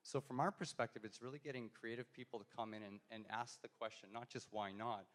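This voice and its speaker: A man's voice: medium pitch, fairly even intonation, fast. A man answering questions on a panel at a university event, hesitant, with frequent ums and restarts. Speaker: industry panelist. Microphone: handheld mic, passed around.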